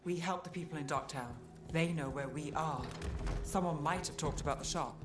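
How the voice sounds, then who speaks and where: An actress playing a calm, serious resistance fighter in a video game. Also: female voice, deep voice, normal speed, lightly acted. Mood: serious, measured. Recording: game music behind.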